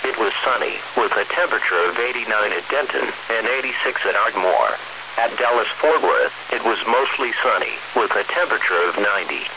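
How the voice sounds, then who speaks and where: An automated American male voice reading a scripted weather bulletin in a measured, impersonal, synthesized way. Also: on the low side. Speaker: weather radio announcer. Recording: noisy weather radio broadcast.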